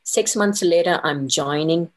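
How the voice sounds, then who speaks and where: A woman's voice: on the low side, unhurried. A middle-aged woman being interviewed, telling her career story conversationally and voicing her own thoughts as little quoted asides. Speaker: interview guest, consultant and business owner.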